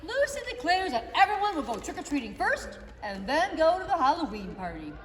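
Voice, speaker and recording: A woman narrating a children's reader's theater story in an expressive, animated way, recorded from afar in an echoey hall. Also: sits high, brisk. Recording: echoey hall, mic far off.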